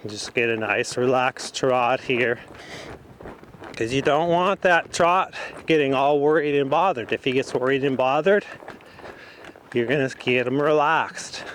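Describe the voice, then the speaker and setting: Slow-paced man coaching from horseback. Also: medium pitch, a bit bright. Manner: talking in short, broken phrases while riding. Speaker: horse trainer. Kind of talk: instructional commentary while riding. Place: outdoors in an open riding arena. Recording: faint outdoor noise.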